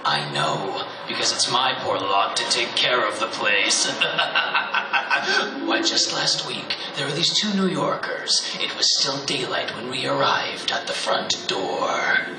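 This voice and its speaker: Slow-talking rustic caretaker of a haunted inn, drawling his words with a lively, rising and falling voice, as an actor plays him. The stage is roomy, with music playing behind. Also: mid-range voice. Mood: spooky. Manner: slow drawling storyteller, folksy. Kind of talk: live radio drama acting.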